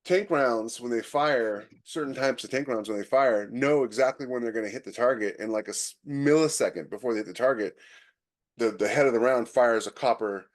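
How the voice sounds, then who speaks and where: Regular mid-pitch guy on a podcast, just casually chatting tech, thinking out loud. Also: brisk. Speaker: podcast co-host. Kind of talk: casual conversational tech chat.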